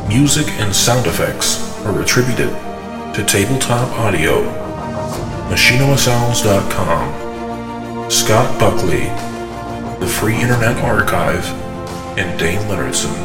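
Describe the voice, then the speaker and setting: Loud theme music surrounds a low-voiced man with a strong echo. He reads scripted credits slowly as an announcer. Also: lively intonation.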